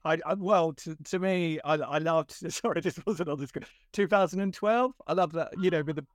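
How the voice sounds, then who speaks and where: A man's voice: on the high side, moderate pace, dry recording, clean studio sound. A man in his fifties hosting a podcast interview, friendly and rambling, with stammered restarts and chuckles. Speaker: podcast host.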